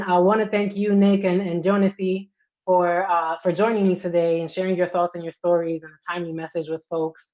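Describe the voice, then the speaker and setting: A woman moderating an online panel discussion, speaking in a measured way with frequent ums and restarts over a video call. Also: on the low side, darkish tone, highs cut off.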